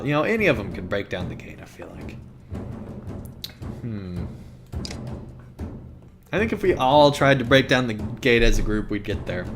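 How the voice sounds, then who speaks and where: A man casually commenting on a story game, thinking aloud with fillers and restarts, and sometimes reading the game's text aloud. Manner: rambling, thinks aloud with fillers and self-corrections; voice sometimes jumps very high when joking. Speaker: let's play gamer.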